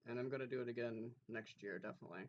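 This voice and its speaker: A man's voice: medium pitch, rapid-fire, muffled recording. A man streaming, talking casually as if to a chat audience.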